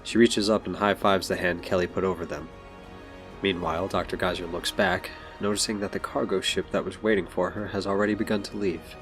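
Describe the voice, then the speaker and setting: A man's voice: low-pitched, steady tone, brisk, a bit bright. A man reading scripted story narration for an audio drama. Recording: music behind.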